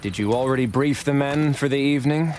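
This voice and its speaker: An actor playing a haughty, imperious rich boss in a Western video game, pompous and condescending. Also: male voice, mid-range voice, a little fast, background noise, close to an everyday voice.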